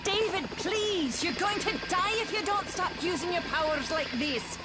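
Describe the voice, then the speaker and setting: A woman's voice: very high-pitched, lively intonation, moderate pace. A woman playing a frightened mother in a motion comic, pleading in anguish. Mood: desperate pleading. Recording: loud music behind the voice.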